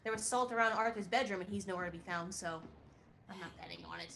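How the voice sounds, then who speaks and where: A woman with a medium-pitched voice takes part in a tabletop roleplaying game. She speaks in a casual, conversational way as one of the players. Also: quick pace, crowd noise.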